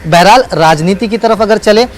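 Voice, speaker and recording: A man's voice: high voice, normal speed. A man reporting live as a news correspondent, speaking unscripted in emphatic, repetitive phrases with hesitant fillers.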